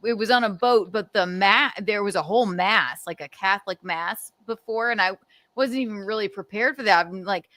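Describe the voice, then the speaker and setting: A woman's voice: mid-range voice, moderate pace, fairly bright tone, no echo. A woman hosting a casual comedy stream, telling stories with a giggle and acting out what people said. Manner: storytelling, giggly, acts out quotes. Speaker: host.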